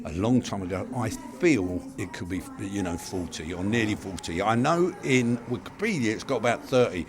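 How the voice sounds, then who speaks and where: An older man, a veteran pantomime performer, speaking in a relaxed, reflective way. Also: fairly low pitch, normal speed.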